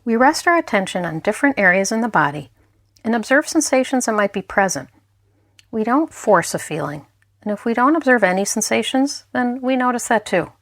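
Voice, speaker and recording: A woman mindfulness instructor narrating a guided meditation in a gentle, unhurried way, leaving pauses between phrases. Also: mid-range voice, no echo, studio quality.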